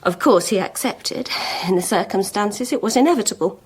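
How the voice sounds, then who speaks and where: An actress playing a proud, lovelorn schoolmistress in a period radio drama, speaking frankly and deliberately with emotional intensity. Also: female voice, medium pitch, animated, slightly rough, rough recording. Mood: confessing. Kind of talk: BBC radio drama acting.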